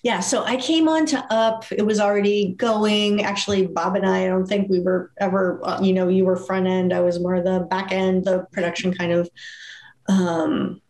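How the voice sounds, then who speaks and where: A woman speaking as a producer in an interview, casual and reflective, picking her words haltingly, with a roomy echo. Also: medium pitch, fairly even intonation, darkish tone. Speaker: animation producer being interviewed.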